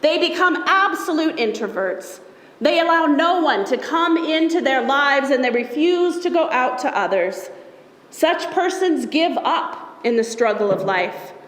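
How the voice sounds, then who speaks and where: Female pastor, high voice, measured and solemn sermon reading, very roomy, echoey church sound. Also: animated, fairly bright tone. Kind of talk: sermon read aloud from a text. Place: church sanctuary during a service. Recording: echoey church hall with long reverb.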